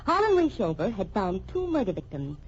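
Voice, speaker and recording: A woman's voice: mid-range voice, wildly swooping pitch, brisk, a little hiss or hum. An actress playing a shrewd, sardonic female investigator in a mystery radio drama, with pointed, wry delivery. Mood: accusing. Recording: dull, band-limited old radio recording.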